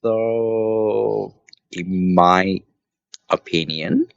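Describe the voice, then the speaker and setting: Man, english learner, very deep voice, hesitant, laughs a lot, dull lo-fi call audio. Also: drawn-out words, gravelly, dry recording. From Japan. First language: Japanese. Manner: hesitant, laughs often between words. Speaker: English student. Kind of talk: casual conversation practice.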